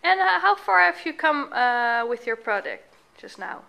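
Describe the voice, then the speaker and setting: Lively bright-voiced woman interviewer. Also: high-pitched, unhurried, gravelly. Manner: friendly prompting questions, rephrases answers back. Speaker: teacher interviewing students. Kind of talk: casual on-site interview.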